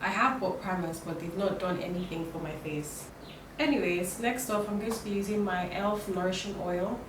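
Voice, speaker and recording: A young woman talks her viewers through a makeup routine in a relaxed, rambling way, her voice croaky from a cold. She is recorded in an echoey room from some distance away. A steady hiss of noise sits under her voice. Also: medium pitch, moderate pace. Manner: chatty, with many fillers and restarts. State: hoarse from a cold. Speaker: beauty vlogger. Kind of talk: casual makeup tutorial talk. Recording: roomy, distant, noisy home recording.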